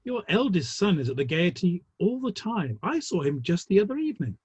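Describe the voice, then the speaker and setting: A man in a play reading, playing a genial, teasing Victorian theatre manager with a husky voice. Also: sits high, very animated, a little fast, dry recording, full character voice. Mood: genial, playful.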